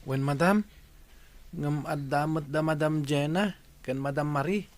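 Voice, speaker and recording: A man's voice: a little high, measured pace, close to an everyday voice. A man playing a friendly, respectful young student in a radio drama. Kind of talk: Ilocano radio drama acting.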